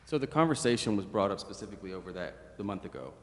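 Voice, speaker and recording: A man's voice: fairly low pitch, lively intonation, quick pace. A man explaining things at a public meeting as a city staff member. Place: council chamber. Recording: echoey hall, faint noise.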